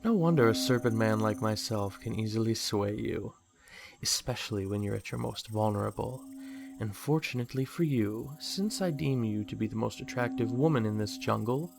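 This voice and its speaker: A man's voice: lowish voice, creaky. A man voicing a possessive, seductive naga serpent hypnotist in a fan audio drama, coaxing his lover with a theatrical villain purr. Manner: slow, coaxing, theatrical villain purr, often near whispering.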